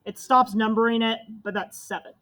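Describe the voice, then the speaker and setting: A young woman teacher with a loud, fairly high voice walks her class through a math lesson. She explains each step plainly and checks in with the students for questions. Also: fairly even intonation, normal speed.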